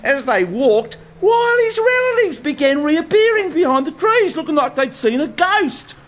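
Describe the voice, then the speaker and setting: Swaggering australian bohemian, profane yarn-spinner, lively swooping voice, radio play. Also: male voice, extremely high pitch, normal speed, slight background noise, full character voice. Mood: ranting yarn. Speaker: bohemian blues musician and storyteller.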